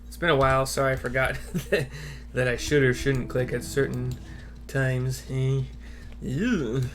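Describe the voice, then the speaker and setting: A man giving casual gameplay commentary in a rambling, halting, offhand way. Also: mid-range voice, steady tone, a bit bright. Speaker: video game streamer. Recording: clear background noise, keyboard typing.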